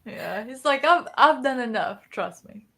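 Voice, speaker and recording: Bright, higher-voiced woman chatting animatedly. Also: a little slow, gravelly, slightly rough. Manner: animated storytelling, acts out reactions. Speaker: co-host of a book readalong discussion. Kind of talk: casual book chat.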